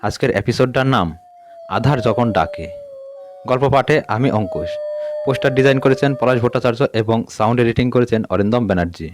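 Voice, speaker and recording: A man's voice: mid-range voice, brisk. A man hosting and narrating a horror audio story in a dramatic storytelling style. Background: music under the voice.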